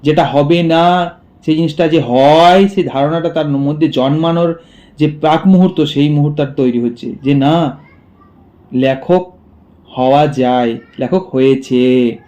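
Higher-pitched man teaching. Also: measured pace, pauses often, mellow. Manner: asks rhetorical questions and answers them; repeats and paraphrases lines while explaining. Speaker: school literature teacher. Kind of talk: explanatory story lesson for students.

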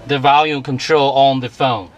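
A man in his forties giving a halting, repetitive product demonstration as presenter and seller. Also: medium pitch, steady tone, muffled recording. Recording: music playing behind the voice. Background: music.

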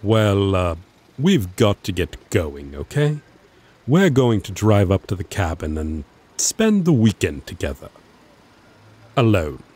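A man playing a possessive, quietly menacing young man in an ASMR roleplay drama. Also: on the low side, big pitch swings, slow pace, breathy edge, exaggerated voice. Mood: brisk, possessive. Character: possessive, menacing young male friend, protective of his sweetheart.

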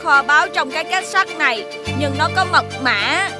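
A woman's voice: high voice, expressive, moderate pace, over music. A woman voicing a playful magical creature friend who talks in a cheeky, teasing way. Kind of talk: cartoon voice acting.

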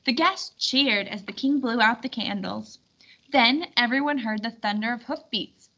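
A woman reading a children's storybook aloud in an expressive, clearly instructional way. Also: a little high, measured pace, lo-fi sound. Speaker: storybook reader.

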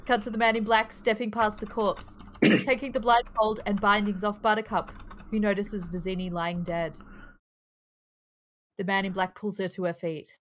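A woman serves as narrator at a table read. She reads out screenplay stage directions in a medium-pitched voice. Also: fairly even intonation, moderate pace, poor audio.

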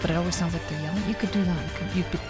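A woman co-hosting a morning radio show, asking quick playful questions. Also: lowish voice, steady tone, loud music behind the voice. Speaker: radio co-host. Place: radio studio.

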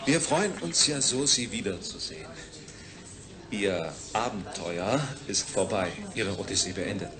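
An actor playing a reassuring, faintly stern institute doctor in a German radio play. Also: male voice, fairly low pitch, expressive, a little slow, crowd noise. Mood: smooth, reassuring.

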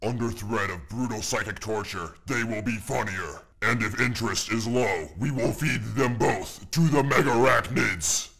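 A man's voice: lowish voice, normal speed, gravelly, hardly any pauses, a bit bright. A man playing a stern, threatening imperial zealot delivering a mock propaganda announcement.

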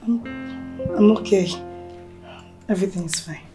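A woman's voice: mid-range voice, moderate pace, mellow, lightly acted. An actress playing a hurt, guarded young girlfriend in a film drama, terse and reserved. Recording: echoey room with music.

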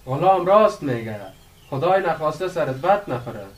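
A man's voice: on the high side, animated, recorded from a distance, a little hiss or hum, lightly acted. An actor playing a curious, impatient young village man who prods his friend conversationally in a radio drama. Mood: friendly. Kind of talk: radio drama acting in dialogue.

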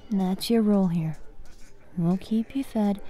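A woman playing a bossy but caring young fighters guild master in an ASMR fantasy roleplay, soft-spoken and commanding. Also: mid-range voice, soft background music, lightly acted. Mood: stern. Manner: soft-spoken, commanding, frequent sighs and pauses.

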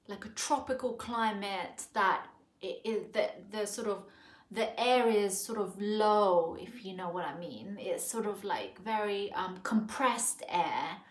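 Woman in her 40s from Thailand, home review vlogger; unhurried, thinking aloud, filler-heavy, roomy living-room sound. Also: mid-range voice. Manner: slow, musing, many fillers and restarts. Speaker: perfume reviewer. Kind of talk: casual spoken review. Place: living room sofa, indoors. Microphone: camera in front at close range. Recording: echoey room.

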